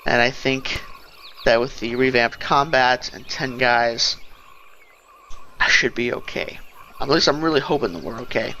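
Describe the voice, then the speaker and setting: A man's voice: medium pitch, sharp tone, a little hiss or hum. A man giving casual, rambling gameplay commentary, thinking aloud as he goes. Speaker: let's play host. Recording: game music behind the voice. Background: fantasy game music and sounds.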